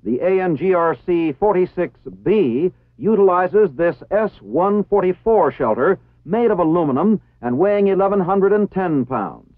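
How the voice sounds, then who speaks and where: A man with an American accent reading formal, scripted instructional narration in a measured, precisely enunciated way. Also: high-pitched, expressive, no room sound. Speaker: training film narrator. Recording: tinny phone-like 1960s film soundtrack.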